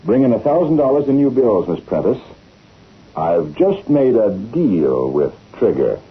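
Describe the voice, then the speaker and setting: A man's voice: fairly low pitch, big pitch swings, unhurried. An actor playing a cold, commanding man of threats in an old radio drama, terse and authoritative. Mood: coldly commanding. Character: cold, commanding, menacing man of secret dealings. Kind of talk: 1930s-40s radio acting. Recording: tinny, noisy vintage radio recording.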